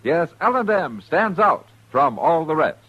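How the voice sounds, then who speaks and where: A man's voice: fairly high pitch, very animated, measured pace. An American man delivering a punchy, slogan-driven 1950s radio commercial as announcer. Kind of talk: 1950s radio advertising pitch. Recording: muffled old-time radio recording.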